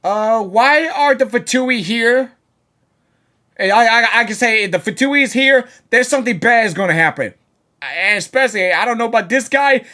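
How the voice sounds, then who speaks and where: A woman's voice: a little high, lively intonation, moderate pace, pushed and bright. A woman streamer giving casual, rambling reactions to a game as she plays, often repeating her words. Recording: game music behind voice.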